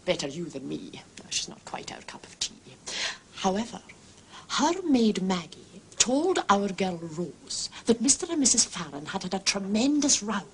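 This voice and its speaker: A woman's voice: medium pitch, sing-song, normal speed, slight background noise, low-quality recording. An actress playing an arch, gossipy lady artist in a radio drama, theatrically mock-indignant and teasing. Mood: conspiratorial. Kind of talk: British radio drama acting.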